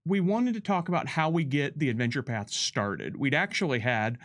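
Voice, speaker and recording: Male co-host, mid-pitched, conversational developer commentary; halting but emphatic, stretches words for weight. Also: lively intonation, fairly bright tone.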